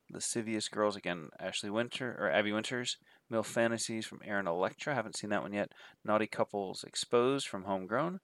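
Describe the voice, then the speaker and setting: A man's voice: on the low side, fairly bright tone, no room sound. A man with a cold and a nasal voice, giving a rambling, casual spoken review of films and listing titles with many fillers. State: has a cold. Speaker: adult film reviewer.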